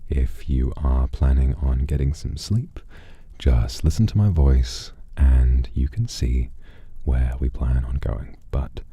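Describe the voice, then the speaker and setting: A man with an extremely low, velvety dark and husky voice plays the storytelling streamer. He speaks deliberately and theatrically, leaving dramatic pauses between phrases as he spins his tale for listeners. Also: no room sound, highs cut off. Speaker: streamer telling a story in persona as a demon. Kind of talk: slow atmospheric first-person storytelling to a livestream audience.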